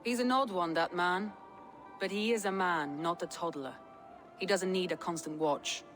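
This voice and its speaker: An actor playing a skeptical, measured Viking leader in a video game cutscene. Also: male voice, sits high, a bit bright. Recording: music clearly behind.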